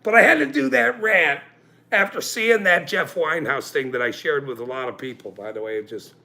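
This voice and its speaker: A man in his forties hosting a livestream, ranting angrily with profanity and loud outbursts, then joking about himself. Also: fairly high pitch, a little fast, creaky. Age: 40s. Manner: angry, profane, with loud outbursts and self-mocking laughs. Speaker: channel host. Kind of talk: livestream rant.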